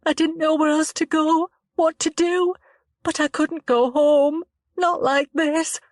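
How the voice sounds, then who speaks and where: A woman voicing a young woman who is shaken and tearful after an attack, speaking in a halting, trembling way. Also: steady tone, no room sound, studio quality. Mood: upset. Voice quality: trembling, halting and upset, rising higher when distressed. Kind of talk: dramatized audiobook reading.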